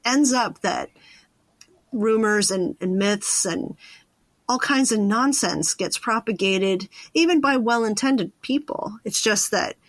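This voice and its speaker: A woman with a mid-range voice speaks as an interview host in a close, dry recording. She talks casually and reflectively, working through her thoughts out loud in an informal way. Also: mid-range voice, slow pace, no room sound. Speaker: podcast host interviewing a guest.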